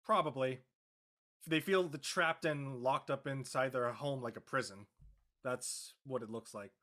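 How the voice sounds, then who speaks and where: A man with a medium-pitched voice answers interview questions as an actor. He talks off the cuff, haltingly, often searching for the right word. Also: a bit bright. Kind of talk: unscripted interview talk.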